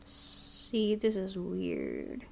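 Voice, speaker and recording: A woman's voice: mid-range voice, wildly swooping pitch, drawn-out words, vocal fry. A woman gaming YouTuber doing a let's play, reading story text aloud expressively with casual asides. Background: game music.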